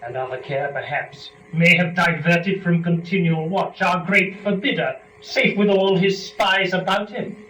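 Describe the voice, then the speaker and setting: A man performing as a young, scheming, rapturous first woman in a measured, theatrical dramatic verse reading. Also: sits high, steady tone, sharp tone. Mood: sly, calculating. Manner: measured, theatrical, deliberate phrasing. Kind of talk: dramatic verse recitation of epic poem. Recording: noisy, dull, echoey room from a distance.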